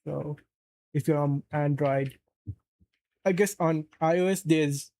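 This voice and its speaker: A man's voice: on the high side, fairly even intonation, slow pace, a little mellow, no echo. A man from Saudi Arabia casually chatting on a live stream, rambling and answering chat as he plays.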